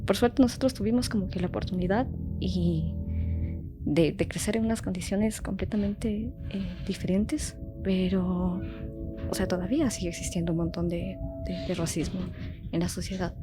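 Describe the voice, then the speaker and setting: A woman's voice: lowish voice, steady tone, normal speed, over music. Chatty young woman in an interview. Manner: chatty, heartfelt, many fillers. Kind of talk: casual interview talk.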